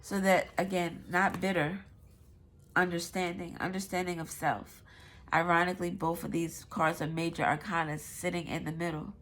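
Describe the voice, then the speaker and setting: A woman's voice: low-pitched, a little hiss or hum. Stuffy-nosed woman reading tarot casually. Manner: rambling, loose and swearing. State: stuffy nose. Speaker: tarot reader.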